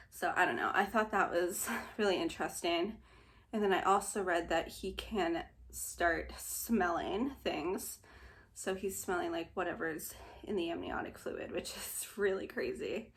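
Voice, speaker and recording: A woman's voice: mid-range voice, a bit bright. A young woman, slightly nasal and short of breath, chatting casually in a vlog, laughing often between filler words. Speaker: vlogger. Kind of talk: casual pregnancy update vlog.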